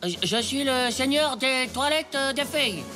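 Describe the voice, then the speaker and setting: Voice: high-pitched, lively intonation, moderate pace, music in the background. An actor voicing a bossy, scheming ten-year-old boy in a French cartoon, giving pompous mock-noble orders in schoolyard slang. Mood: flustered. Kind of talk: French animated cartoon dialogue.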